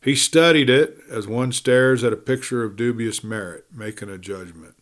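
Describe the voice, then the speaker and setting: A man's voice: mid-range voice, moderate pace. A man reading a western story aloud in a folksy storytelling manner, with rustic wording. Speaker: audiobook reader.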